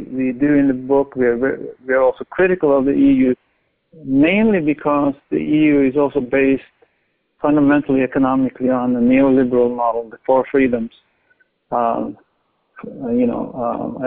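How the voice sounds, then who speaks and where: Gravelly man on a tinny phone line. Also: medium pitch. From Norway. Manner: thoughtful, many restarts and fillers. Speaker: author guest. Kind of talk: interview talk.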